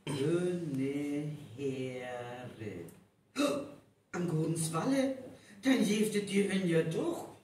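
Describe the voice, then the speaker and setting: Ein Mann spielt einen skeptischen Dorfbewohner in einem live aufgeführten nordfriesischen Hörspiel. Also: male voice, on the high side, drawn-out words. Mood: surprised. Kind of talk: live radio play acting in North Frisian. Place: live stage performance in a hall. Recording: roomy live hall, distant, faint noise.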